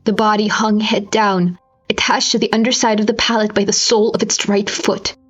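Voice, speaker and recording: A woman's voice: medium pitch, flat delivery. Woman narrator, grave and theatrical, over music. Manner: grave, theatrical, deliberate phrasing. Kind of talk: dramatic scripted story narration. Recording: slightly dull recording with music behind.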